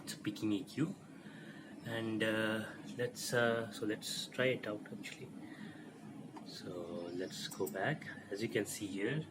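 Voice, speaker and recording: A man giving an informal technical walkthrough as presenter of an electronics demo, explaining haltingly and repeating himself. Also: fairly low pitch, noisy background. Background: music playing from the demo.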